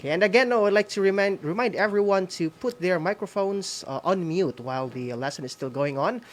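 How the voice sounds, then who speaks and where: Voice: mid-range voice, brisk. A Filipino radio-school co-host speaking casually and hesitantly, giving reminders to participants and often tagging phrases with "no?". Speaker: co-host of a school-on-the-air program.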